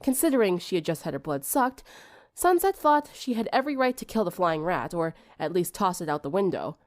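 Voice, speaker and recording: Expressive woman narrator with lively pitch. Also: brisk, no echo, studio quality. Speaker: audiobook narrator. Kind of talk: expressive scripted story narration.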